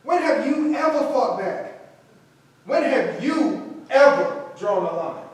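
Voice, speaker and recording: A man's voice: very high voice, lively intonation, slow pace, heavily acted. A man playing a proud, embittered, stubborn actor, emphatic and colloquial, in a staged play reading. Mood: angry, defiant. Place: echoey room at a staged reading. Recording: very echoey room, distant microphone.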